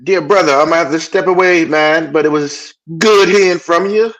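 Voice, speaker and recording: A man's voice: on the high side, normal speed, a bit bright, dull audio. A man calling in to a livestream, speaking in loose, slangy casual talk. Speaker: caller on a livestream.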